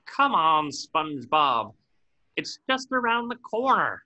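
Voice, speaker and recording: A man's voice: a little high, sing-song, takes it slowly, bright, forceful tone, lo-fi sound, full character voice. A man playing a grumpy, exasperated cartoon squid cashier in a table reading, irritable and sarcastic. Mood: impatient.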